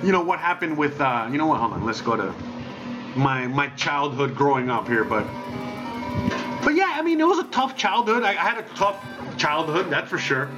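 Rambling man over loud music. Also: fairly high pitch, big pitch swings, normal speed. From Miami, United States. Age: 30s. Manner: rambling, swears freely, drops Spanish phrases. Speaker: livestream host. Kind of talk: casual livestream chat. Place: home, wife nearby. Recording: dull lo-fi stream with loud music.

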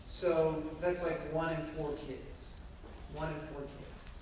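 A man's voice: a little high, flat delivery. A man from Southeast Texas with a local accent, a lobbyist giving a folksy, joking update to a room of teachers. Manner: folksy, joking, quick bursts with ums. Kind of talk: casual talk to an audience. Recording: roomy, echoey hall from far off with ambient noise.

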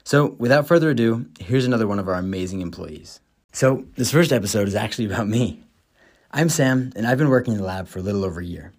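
A man's voice: lowish voice, lively intonation, quick pace. Upbeat young man hosting a podcast. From United States. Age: 20s. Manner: upbeat, enthusiastic, read from a script. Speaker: podcast host. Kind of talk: scripted podcast monologue.

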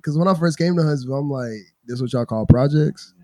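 Mellow man. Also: fairly high pitch, fast. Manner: slangy, agreeable. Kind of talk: casual conversational discussion.

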